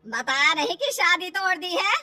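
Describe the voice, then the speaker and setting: Defiant cartoon auntie female performer, older, rude, shrill pitch with big swings. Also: animated, normal speed, bright, forceful tone, dry recording, full character voice. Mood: scornful, angry. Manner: brusque, scornful.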